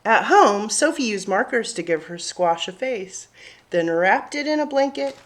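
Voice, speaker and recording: A woman's voice: medium pitch, animated, unhurried, noisy background. A woman hosting a children's story time, talking and reading aloud expressively to young listeners.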